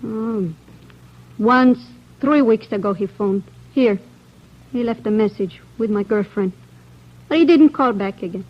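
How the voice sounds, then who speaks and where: A woman's voice: fairly high pitch, unhurried. An actress playing a guarded working-class young woman in a 1940s radio crime drama, with hesitant, searching phrases. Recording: dull vintage 1940s radio recording.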